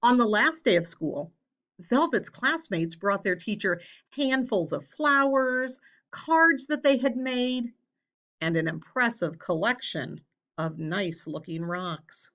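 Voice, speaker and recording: Female children's storybook reader, unhurried and expressive, measured phrasing, slightly muffled lo-fi sound. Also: medium pitch. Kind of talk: children's read-aloud, scripted narration.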